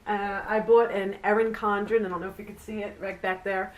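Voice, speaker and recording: A woman's voice: medium pitch, level tone, fairly quick, low-quality recording. Chatty congested woman beauty vlogger. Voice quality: nasal. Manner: chatty and rambling, with frequent fillers. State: congested and nasal from the flu. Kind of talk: casual product review vlog.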